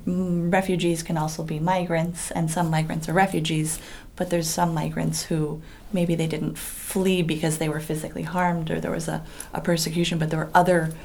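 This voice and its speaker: A woman immigration attorney in her office, answering interview questions and explaining terms clearly. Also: lowish voice, darkish tone. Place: legal services office.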